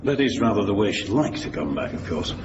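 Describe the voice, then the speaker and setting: An actor playing a gossipy Home Secretary in a radio drama, with an arch, conspiratorial manner. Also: male voice, lowish voice, sing-song, brisk. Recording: echoey, distant, dull, noisy.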